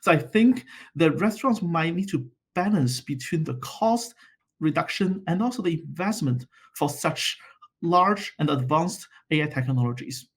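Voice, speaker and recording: A man with a husky, slightly raised voice, speaking as an expert guest in an interview. He reasons through the question analytically and pauses often as he builds his argument. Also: on the high side, animated. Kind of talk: interview answer.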